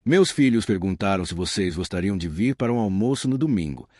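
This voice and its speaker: A man's voice: low-pitched, expressive, brisk, mellow, dry recording, studio quality. A man reading the lines of a humble, determined woman in her late thirties, earnest and modest.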